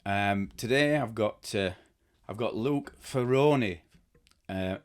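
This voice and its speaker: A man in his fifties hosting a casual podcast interview in a cluttered home studio, talking haltingly with many fillers and restarts. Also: on the low side, clear, resonant tone, dry recording. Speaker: podcast host. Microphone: studio mic with headphones.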